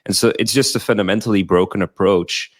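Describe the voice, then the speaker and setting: A man's voice: lowish voice, fairly even intonation, quick pace. A man from Belgium, a company founder giving fluent explanatory answers as an interview guest, often checking in with "right?".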